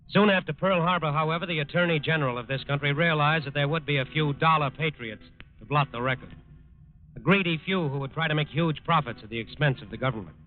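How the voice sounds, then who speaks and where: A man delivering solemn, emphatic scripted narration in 1940s radio style. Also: a little high. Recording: tinny 1940s radio recording with music.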